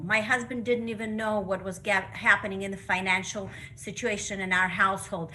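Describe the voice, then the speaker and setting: A woman's voice: medium pitch, bright, forceful tone, poor audio. Earnest middle-aged woman from Russia. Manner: earnest, emphatic storytelling. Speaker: guest speaker. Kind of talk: personal testimony.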